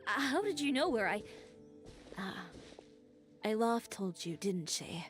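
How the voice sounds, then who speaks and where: An actress playing a proud, headstrong young female tailor who speaks in a calm, measured, reflective way in a video game. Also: medium pitch. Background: game music.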